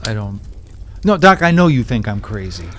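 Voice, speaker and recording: A man's voice: fairly low pitch, sing-song, moderate pace, fairly bright tone, slight background noise. A man playing an earnest, stubborn, eccentric townsman in an improvised radio drama, speaking insistently. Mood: resigned.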